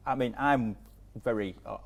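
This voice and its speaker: A man's voice: medium pitch, normal speed, creaky. A man acting as interviewer in a casual conversation, talking informally and in a roundabout way. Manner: informal, roundabout, frequent hedging phrases.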